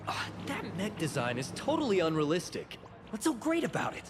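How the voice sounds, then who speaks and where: A blunt, nitpicky young guy from an anime-style game. He scoffs in a high, very animated voice over music. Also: a little fast. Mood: dismissive. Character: dismissive, nitpicky young male townsperson. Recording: music behind the voice.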